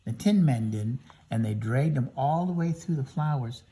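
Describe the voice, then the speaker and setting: A man reading a children's story aloud, in an expressive way with chatty asides. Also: medium pitch, normal speed. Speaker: storybook reader.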